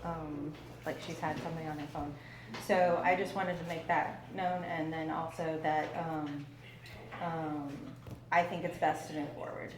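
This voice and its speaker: A woman's voice: low-pitched, flat delivery, measured pace. A woman speaking nervously at a town meeting's public comment, with many ums. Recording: echoey room from far off, loud hiss.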